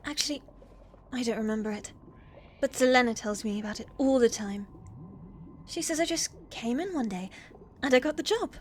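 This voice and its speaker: A woman playing a shy, eager young witch's apprentice in a fantasy ASMR roleplay, soft and hesitant, often slipping toward a whisper. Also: on the high side, animated, moderate pace, pauses often, slight background noise, natural voice. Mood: friendly, unsure.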